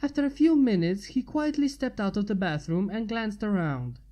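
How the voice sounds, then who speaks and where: A woman's voice: medium pitch, animated, moderate pace, mellow. A woman reading a scripted story as its narrator. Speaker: fan fiction narrator.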